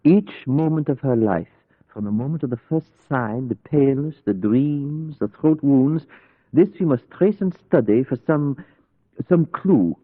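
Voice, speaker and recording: Foreign professor: continental European accent, earnest, inquisitive, deliberate, mid pitch, dry tinny vintage radio. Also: male voice, lively intonation, dry recording. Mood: intent. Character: earnest, probing foreign professor hunting clues. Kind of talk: old-time radio play acting. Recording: tinny band-limited old radio recording.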